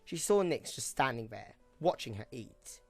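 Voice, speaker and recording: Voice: medium pitch, expressive, normal speed, soft background music. A narrator reading scripted third-person story narration for an amateur audio drama.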